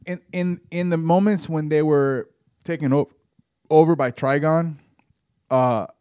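A man's voice: on the high side, steady tone, a little slow, darkish tone, clean tone, no room sound, studio quality. A man co-hosting a podcast, chatting casually and animatedly, restarting his words and often asking "right?". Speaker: podcast co-host. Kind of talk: casual chat about a TV episode.

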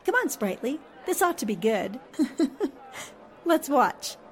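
A woman's voice: high-pitched, very animated, takes it slowly, slight background noise, exaggerated voice. A woman playing a shrewd, sympathetic young newspaperwoman in an old-time radio drama, wry and poised. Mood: amused. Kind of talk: 1940s-style radio drama acting.

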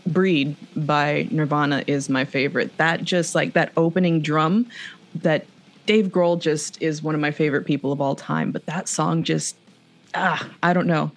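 A woman's voice: deep voice, steady tone, moderate pace, faint music behind. A woman who grew up speaking Spanish, chatting casually and gushing with enthusiasm about songs she loves. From Mexico. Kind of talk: casual chat.

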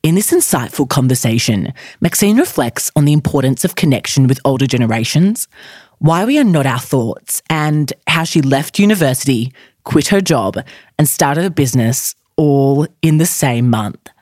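A woman's voice: very deep voice, animated, moderate pace, a little mellow, no echo, studio quality. A woman hosting a podcast, reading an intro and then interviewing with effusive enthusiasm. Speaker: podcast host.

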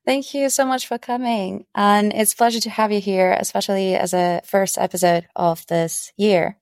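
A woman's voice: mid-range voice, fairly even intonation, moderate pace, no room sound, studio quality. A woman co-hosting a podcast, politely welcoming and introducing a guest. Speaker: podcast co-host. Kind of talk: interview hosting.

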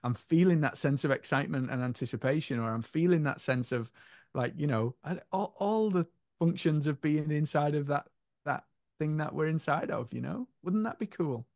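A man's voice: on the high side, expressive, moderate pace, darkish tone, no room sound. Man, podcast co-host, earnest, musing, spiritual chat, dry close mic.

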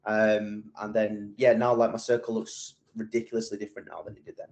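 A man's voice: lowish voice, level tone, brisk, darkish tone. A man, a podcast guest chatting casually and rambling with plenty of fillers and restarts. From England. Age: 20s. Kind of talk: casual interview chat.

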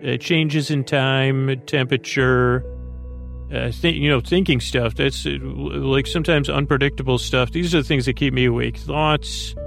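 A man with a creaky voice hosting a sleep podcast, meandering through a soothing, hesitant bedtime monologue full of tangents. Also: medium pitch, steady tone, normal speed, a bit bright, faint music behind. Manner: soothing, lulling delivery. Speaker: podcast host.

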